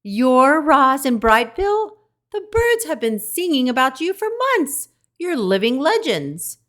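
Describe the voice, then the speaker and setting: A woman voicing a small, friendly female skunk who talks in a squeaky, eager, gushing way. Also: very animated, a little slow, a bit bright. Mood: excited.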